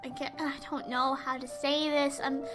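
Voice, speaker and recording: A teen girl acting a lonely, halting young girl. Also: sits high, level tone, music in the background, close to an everyday voice. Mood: lonely, dejected. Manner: halting, emotional. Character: lonely, anguished young girl pouring her heart out. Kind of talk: dramatic monologue.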